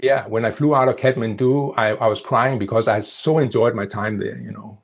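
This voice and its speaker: A man's voice: medium pitch, steady tone, brisk, creaky, slightly rough, lo-fi sound. A man with a Danish accent, a native Danish speaker, explaining things at length as an interview guest, often restarting his sentences. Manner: explanatory, with frequent restarts and "you know". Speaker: interview guest, former project planner. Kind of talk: conversational interview.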